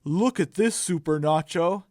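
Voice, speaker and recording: Man voicing an eager burrito superhero, highish voice. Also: a little slow, darkish tone, dry recording, clean studio sound, lightly acted.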